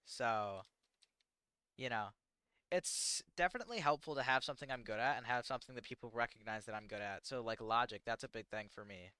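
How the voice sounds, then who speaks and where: Halting teenage American guy streaming. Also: mid-range voice, sharp tone, clear, resonant tone, no echo, studio quality. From United States. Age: teens. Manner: halting and rambling, self-deprecating, many fillers. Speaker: livestreamer. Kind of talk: casual livestream chat answering chat questions.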